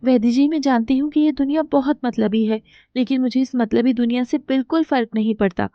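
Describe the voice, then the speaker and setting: A woman's voice: high voice, fairly even intonation, fairly quick, dry recording, highs cut off. A woman voicing a poor, honest, kind-hearted young woman who speaks earnestly and emotionally.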